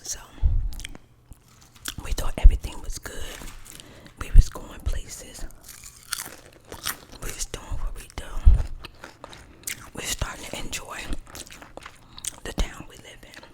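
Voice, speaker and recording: A middle-aged woman tells a casual personal story in a breathy whisper, colloquially and with repeated emphasis, as in ASMR. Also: slow, long pauses.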